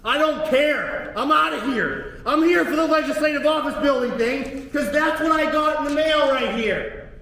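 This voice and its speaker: High-voiced furious man in an echoing courtroom. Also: normal speed, gravelly, few pauses. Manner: angry, profane, shouting. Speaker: defendant. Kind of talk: courtroom outburst. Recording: echoey courtroom with long reverb.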